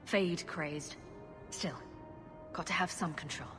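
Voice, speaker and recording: A dry, sarcastic young rogue who mutters to herself. Her voice sits low for a woman, with game music playing behind. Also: slow. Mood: muttering.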